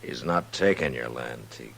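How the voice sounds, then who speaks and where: A frontier marshal with an extremely deep American voice speaks in a firm, measured, laconic way. It is old-time radio western drama, with a faint hum under the recording. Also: male voice, animated, vocal fry, fairly bright tone, exaggerated voice. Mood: calm, reassuring. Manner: measured, laconic, plain-spoken. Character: steady, plain-spoken adult male Old West US marshal.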